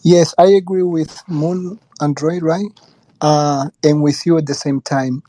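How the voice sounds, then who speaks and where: A man explaining his view earnestly and haltingly in a casual group discussion. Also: a little high, fairly even intonation, warm tone. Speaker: discussion participant, former teacher. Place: live online audio room, dry sound.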